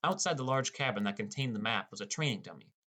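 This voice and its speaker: A man's voice: fairly low pitch, animated, quick pace, sharp tone. Understated teenage boy narrator played by a man. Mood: narrating. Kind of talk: first-person audiobook narration with character dialogue.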